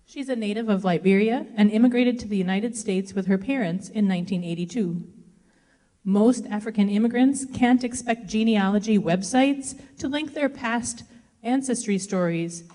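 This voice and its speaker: A woman hosts a live event and introduces the next speaker in a mellow, measured voice. The sound carries the long echo of a big hall. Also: medium pitch. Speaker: event host. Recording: echoey hall.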